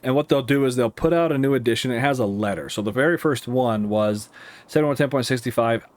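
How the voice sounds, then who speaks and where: A man with an American accent giving casual, explanatory commentary over a simulator game, thinking aloud in a halting, rambling way. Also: medium pitch, no echo. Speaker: air traffic controller streaming a simulator game.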